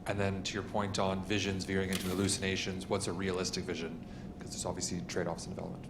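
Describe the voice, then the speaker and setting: A man's voice: sits low, completely flat delivery. A man in the audience casually asking the panel a question. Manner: casual, quick. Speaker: audience member. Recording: echoey hall, noisy.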